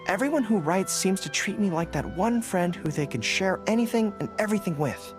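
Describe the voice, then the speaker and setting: A man's voice: high-pitched, expressive, a little fast. A man playing a shy, dutiful young army general in a video game, speaking in an earnest, boyish, sometimes hesitant way. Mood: earnest, pleased. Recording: game music behind the voice.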